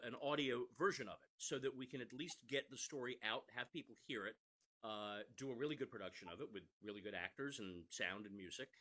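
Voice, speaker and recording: A man's voice: mid-range voice, sharp tone. A middle-aged man, an interview guest, explaining things conversationally in an earnest, emphatic manner.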